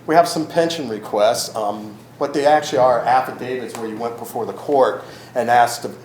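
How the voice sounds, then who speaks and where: A man speaks loudly to a gathered audience without a microphone, pausing often with ums and correcting himself. His voice sits at an ordinary pitch and echoes around the room. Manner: loud voice, frequent ums and self-corrections. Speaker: county historic records manager giving a talk. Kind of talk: informal public talk. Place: event room in a historic house. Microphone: no microphone, room recording. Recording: roomy echo with faint ambient noise.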